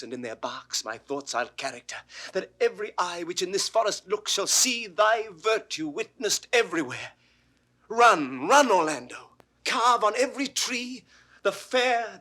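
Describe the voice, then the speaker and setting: A British actor playing an ardent young lovesick poet in a 1960s audio drama, declaiming Shakespearean verse lyrically and lingering on words. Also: male voice, medium pitch, sharp tone, slightly rough. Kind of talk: classical Shakespearean verse acting.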